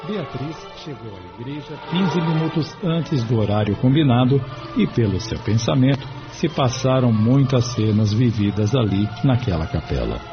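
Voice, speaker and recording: A male narrator with a medium-pitched voice reads scripted drama narration. Music plays clearly behind him, and the recording is a little dull. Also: expressive, moderate pace. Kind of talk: scripted audio novela narration. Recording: dull sound with background music.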